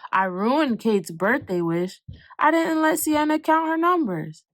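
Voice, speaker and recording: Storybook little boy, upset and sorry, higher voice, as a woman reads him, dry sound. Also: big pitch swings, measured pace, no echo. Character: upset young boy, excitable and remorseful.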